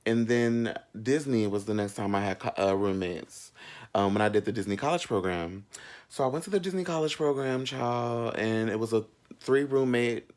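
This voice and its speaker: A man's voice: mid-range voice, moderate pace, a little mellow. Sassy, chatty American man telling stories. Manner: sassy, gossipy, slangy and playful. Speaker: vlog host. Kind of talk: casual chatty storytelling to viewers.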